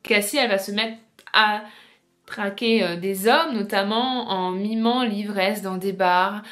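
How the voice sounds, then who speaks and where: A woman's voice: mid-range voice, measured pace, fairly bright tone. A woman presenting an informal video essay as a book vlogger, chatty and explanatory, speaking straight to her viewers.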